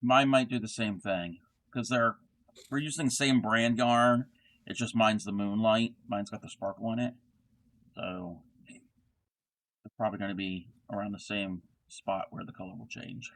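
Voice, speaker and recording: Bright-voiced Southern American man, low pitch. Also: steady tone, a little slow. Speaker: host. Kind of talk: casual crochet chat on a livestream.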